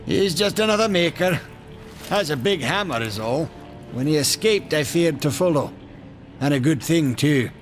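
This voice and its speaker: Man playing a lively, highish, wily fantasy trader. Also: moderate pace, faint music behind, lightly acted. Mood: sly, chatty. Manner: folksy, animated, sly. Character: chatty, wily, cowardly male Maker trader. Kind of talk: fantasy game acting.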